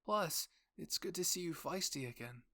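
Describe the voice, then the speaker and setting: A man voicing a gentle, earnest teenage boy soldier with big dreams in an anime fan story. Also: a little high, expressive, normal speed, pitched above the performer's own voice. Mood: mild.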